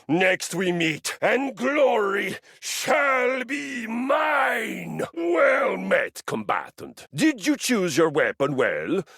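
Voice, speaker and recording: An actor voicing a pompous, battle-hungry Viking warrior in a video game, with grandiose and jovially bellicose delivery. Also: male voice, high-pitched, very animated, extremely slow, creaky, few pauses, fairly bright tone, slightly rough, no echo, raised voice, studio quality, heavily acted. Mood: exuberant battle bravado. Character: boastful, battle-hungry male Viking warrior, a personified treadmill. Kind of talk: theatrical video game character acting.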